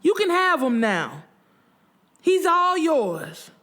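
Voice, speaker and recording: A woman's voice: mid-range voice, level tone, gravelly, darkish tone. A woman voicing a young pregnant widow in an audiobook, heartbroken, by turns faltering and bitterly lashing out. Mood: screaming, bitter. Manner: halting when numb, screaming when enraged.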